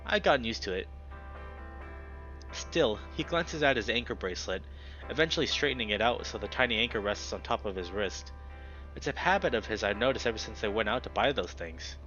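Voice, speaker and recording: A man narrates a visual novel aloud with a bright, forward voice. His reading is expressive, with the odd stumble and reread. The sound is a little dull, and music plays softly behind him. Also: on the low side, a little fast. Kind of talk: expressive narration of story text.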